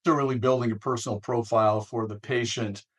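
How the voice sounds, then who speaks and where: Deliberate American male host, medium pitch. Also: fairly even intonation. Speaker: interview host. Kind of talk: conversational interviewing.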